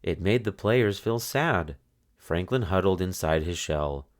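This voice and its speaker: A man reading a children's picture book aloud as narrator, in expressive storytelling style. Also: sits low, unhurried.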